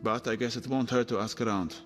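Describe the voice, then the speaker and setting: A man's voice: medium pitch, steady tone, fast, fairly bright tone, close to an everyday voice. An actor voicing a wary, dryly wry male travelling companion in an audio adventure game. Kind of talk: video game voice acting. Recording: reverberant hall sound with music behind.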